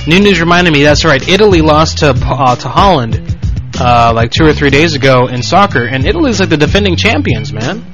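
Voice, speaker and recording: Fast-talking crude radio host, man. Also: a little high, lively intonation, music in the background, poor audio. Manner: fast, crude, joking rants. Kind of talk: casual radio banter.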